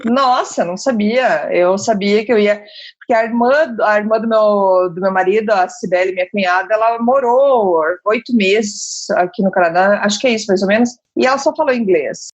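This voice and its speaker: A woman's voice: medium pitch, normal speed, muffled recording. A middle-aged woman from Curitiba with a Curitiba accent, chatting as a podcast guest and telling her story with laughs. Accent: Curitiba Brazilian Portuguese. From Curitiba, Brazil. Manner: lively storyteller, laughs often. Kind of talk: casual chat.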